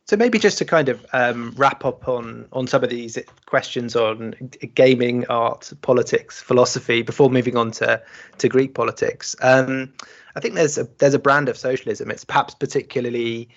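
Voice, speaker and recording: A male podcast host with a gravelly voice asks long, halting questions that he frames with care. His voice sits in a middle pitch. The recording sounds dull and lo-fi.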